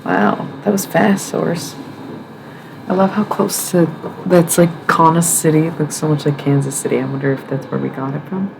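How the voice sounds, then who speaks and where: A woman hosting a livestream, rambling and thinking aloud, with many pauses and self-corrections. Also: low-pitched, fairly even intonation, vocal fry, noisy background. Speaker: livestream host. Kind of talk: musing livestream chat.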